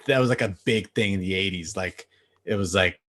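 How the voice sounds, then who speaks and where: Relaxed low-voiced man chatting on a call. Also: a little fast. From Bangladesh. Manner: relaxed, laughing, lots of fillers. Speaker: guest, DJ. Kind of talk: casual interview chat. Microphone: video call.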